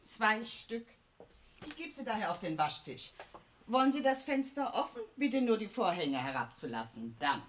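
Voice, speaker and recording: An actress playing a brisk, matter-of-fact, servile hotel chambermaid in a radio drama. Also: female voice, mid-range voice, very animated, close to an everyday voice. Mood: brisk, routine. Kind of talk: German-language radio drama acting.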